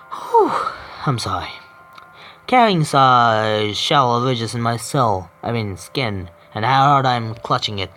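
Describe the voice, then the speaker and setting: A man's voice: mid-range voice, bright, forceful tone, slight background noise. A man streaming himself reading a visual novel aloud, often stumbling and correcting himself.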